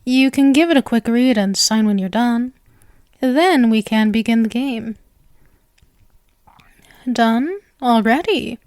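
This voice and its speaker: A woman's voice: fairly high pitch, darkish tone, no room sound, studio quality. A woman voicing a sweet, possessive yandere witch in an ASMR roleplay, coy and rambling, speaking intimately to the listener. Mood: coaxing, eager. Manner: coy, halting, rambling, self-assured. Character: sweet, clingy, possessive yandere witch, playfully smug and nervous.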